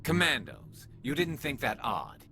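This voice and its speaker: An actor playing a gruff, skeptical squadmate in a video game. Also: male voice, mid-range voice, huge pitch swings, a little slow, sharp tone, a little hiss or hum, full character voice. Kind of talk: video game cutscene acting.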